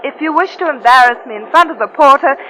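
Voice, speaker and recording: A high-pitched, coolly scheming wife from a 1940s radio mystery. She coos endearments while insisting on control, sweet and condescending. The vintage recording is noisy. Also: expressive, fast talker, pushed and bright. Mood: sweetly condescending. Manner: solicitous endearments over cool, insistent control. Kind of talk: 1940s radio drama acting.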